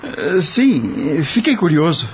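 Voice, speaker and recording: Man acting a timid, stammering farmhand, slightly high-pitched. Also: very animated, slow pace. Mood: nervous, hesitant. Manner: stammering, hesitant, deferential. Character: timid, anxious farmhand, male, hesitant and polite. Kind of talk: audio soap opera acting. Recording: dull lo-fi sound with faint noise.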